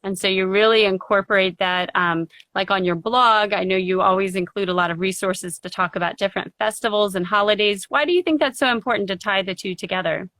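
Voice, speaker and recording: A woman's voice: mid-range voice, a little fast, few pauses, a bit bright, no echo. A woman hosting a live video interview, asking questions in a supportive way with a few hesitant restarts. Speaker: interview host. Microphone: video call.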